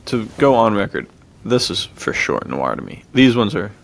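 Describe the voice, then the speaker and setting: Gravelly, low-voiced man chatting casually. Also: very animated, moderate pace. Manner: laid-back slangy talk, laughs easily. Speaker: podcast co-host. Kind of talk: casual film discussion, chatty retelling of plots.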